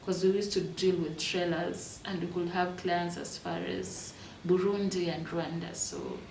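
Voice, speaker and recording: A Kenyan woman in her thirties telling her life story in a reflective, unhurried way, with fillers and restarts. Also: lowish voice, steady tone, creaky, darkish tone, recorded from a distance, slight background noise. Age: 30s. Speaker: interviewee. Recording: echoey room, voice from off-mic.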